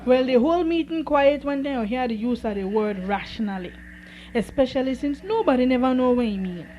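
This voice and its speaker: A woman's voice: sits high, a little fast, lo-fi sound, natural voice. A woman with a Belizean Creole accent narrating a folksy animal fable for a radio play. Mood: wry narration. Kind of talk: scripted storytelling for radio play. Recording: faintly noisy live radio recording.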